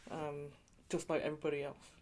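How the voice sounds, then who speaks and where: A woman's voice: sits low, little pitch movement, fairly quick, darkish tone, slight background noise. A woman from England being interviewed, talking in a chatty way with lots of "you know" and light laughs. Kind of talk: oral history interview.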